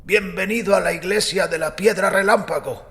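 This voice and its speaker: A man voicing an elderly, gentle, good-humored priest with courteous, formal old-fashioned speech in an audio drama. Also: high voice, normal speed, vocal fry, big-room sound, pitched above the performer's own voice, full character voice. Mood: welcoming. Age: older. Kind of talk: audiobook character acting.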